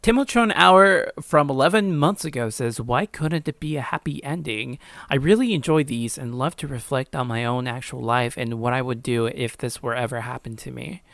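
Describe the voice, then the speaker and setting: A man's voice: mid-range voice, moderate pace, gravelly, a bit bright, dry recording, studio quality. A young man chatting casually and informally as he hosts a reaction video and reads comments, giggly and self-deprecating. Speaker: YouTube host reacting to own story.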